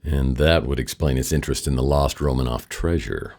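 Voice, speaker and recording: A man playing a world-weary, wisecracking private detective in a noir radio drama, with a wry deadpan delivery. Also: very deep voice, brisk, vocal fry, long reverb tail, heavily acted. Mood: dry, businesslike. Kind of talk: noir radio drama, first-person narration and dialogue.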